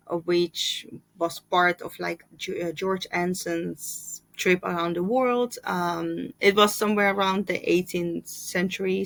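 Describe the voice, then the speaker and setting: A woman in her thirties with a fairly low voice chats through her year of reading. She talks thoughtfully, stopping often with small fillers and a quick laugh. The sound is close and dry, with no room echo. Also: fairly bright tone. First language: Russian. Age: 30s. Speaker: book vlogger. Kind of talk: casual reading wrap-up chat.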